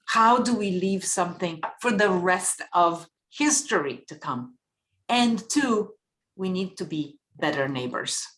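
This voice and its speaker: Woman from bulgaria giving panel remarks, earnest, measured, asks lots of rhetorical questions. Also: lowish voice, lively intonation, fairly bright tone.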